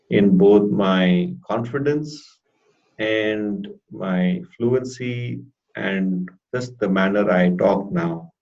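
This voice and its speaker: A man with a stutter giving a halting, deliberate personal testimonial as a therapy client in an interview. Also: lowish voice, a little mellow. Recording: dull lo-fi audio.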